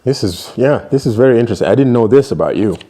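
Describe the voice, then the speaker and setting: A man in his thirties hosting an interview, asking halting questions and backing the guest up with frequent short replies, in a small studio room. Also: mid-range voice, expressive, mellow. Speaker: interview host. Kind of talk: casual interview.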